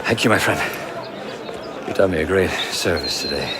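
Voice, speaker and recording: An actor playing a cautious, formal silversmith held captive, in a video game cutscene. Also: male voice, deep voice, expressive, moderate pace, heavy background noise. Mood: guarded, courteous. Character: male captive silversmith, cautious, courteous, secretive.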